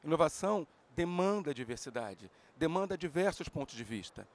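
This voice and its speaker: A man in his forties hosting a panel, speaking calmly and steadily into a handheld mic in a large event hall. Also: moderate pace. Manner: calm, steady, pitching a project. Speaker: panel host. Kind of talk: presenting talk.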